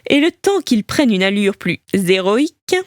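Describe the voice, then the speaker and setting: A woman's voice: medium pitch, sing-song, moderate pace, no room sound, studio quality, close to an everyday voice. A woman as the wry, theatrical narrator of a comic audio drama, teasing about the heroes in scripted storytelling.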